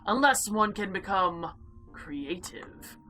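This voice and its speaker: An imperious female eldritch being of the void, voiced by a woman for a visual novel. She speaks with formal, haughty deliberation and a sharp, bright edge. Faint music plays behind her. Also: medium pitch, animated, takes it slowly, voice pushed down. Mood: coldly haughty. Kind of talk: visual novel character voice-over.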